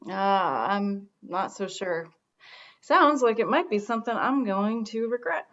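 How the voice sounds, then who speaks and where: A woman acting in a comedy radio play as a curt, sardonic producer, snappish and deadpan. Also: medium pitch, unhurried, highs cut off. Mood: resigned sarcasm.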